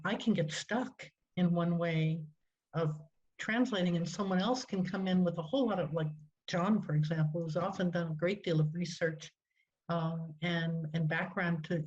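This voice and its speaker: An older woman, a poet and translator, speaking hesitantly with many pauses on a video call through a headset. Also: fairly low pitch, darkish tone. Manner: hesitant, many fillers, thoughtful. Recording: muffled lo-fi call audio.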